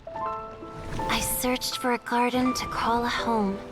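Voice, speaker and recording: A wistful fairy from a fantasy game. She speaks slowly and softly, with gentle poetic phrasing. Music swells clearly behind her. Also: fairly high pitch, flat delivery. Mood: wistful, storytelling. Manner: soft-spoken, measured, poetic. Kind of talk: video game voice acting.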